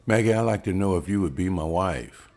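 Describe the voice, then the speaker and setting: A man's voice: sits low, lively intonation, a little fast, a little mellow. Man reading a courteous older suitor. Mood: tender. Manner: polite, earnest courting tone. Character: courteous older male suitor, earnest and formal. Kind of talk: table read.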